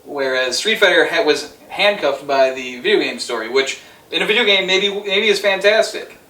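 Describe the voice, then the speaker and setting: Guy arguing emphatically w friends, sharp voice, echoey room, kinda far from mic. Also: a little high, lively intonation, normal speed. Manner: emphatic, opinionated, swearing. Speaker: co-host of a movie ranking show. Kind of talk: casual argumentative debate among friends.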